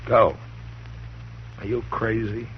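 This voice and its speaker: An actor playing a stern, steady frontier marshal, firm and commanding, in a 1950s radio drama. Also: male voice, lowish voice, takes it slowly. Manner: firm and commanding, with dry chuckles. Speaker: lead. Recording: muffled old radio broadcast, faint hiss.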